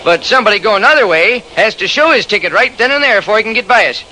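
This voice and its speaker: An actor playing an earnest, deferential train conductor in an old-time radio drama, explaining himself with eager emphasis. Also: male voice, sits high, animated, quick pace, pushed and bright, a little hiss or hum, full character voice, poor audio.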